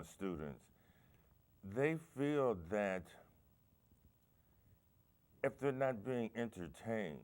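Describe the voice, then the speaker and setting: A man hosting a local talk show, asking questions in a measured, thoughtful way with some hesitations. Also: fairly low pitch. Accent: American.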